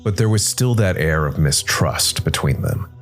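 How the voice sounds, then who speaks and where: A man hosting and narrating a scripted story podcast in a measured way, with dramatic pauses. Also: very deep voice, expressive. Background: soft music bed.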